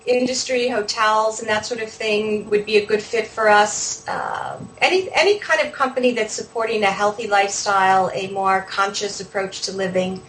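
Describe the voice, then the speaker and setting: A woman's voice: medium pitch, fairly even intonation, moderate pace, few pauses, a bit bright, slight background noise, low-quality recording. A woman talking casually as an interview guest, chatty and conversational, thinking aloud as she goes. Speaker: interview guest, video podcast host.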